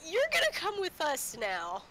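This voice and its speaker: A woman acts a sarcastic police officer for a tabletop roleplaying game. The voice is high, bright and curt, mocking and bossy, with some background noise from the stream. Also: huge pitch swings, unhurried, exaggerated voice. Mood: stern mockery.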